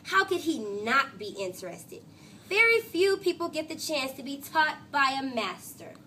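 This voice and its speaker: Storybook role: woman playing a cocky, impatient young girl, emphatic and bright, swinging pitch. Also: very animated, unhurried, a little hiss or hum, full character voice. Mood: cocky, excited. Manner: emphatic, boastful.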